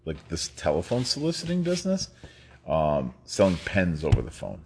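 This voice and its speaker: A man's voice: low-pitched, wildly swooping pitch, moderate pace, gravelly, a little mellow, a little hiss or hum. A man in his fifties from New York, telling his life story as a guest in a rambling, casual way with frequent laughs. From New York, United States. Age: 50s. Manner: rambling, laughs often, many fillers and restarts.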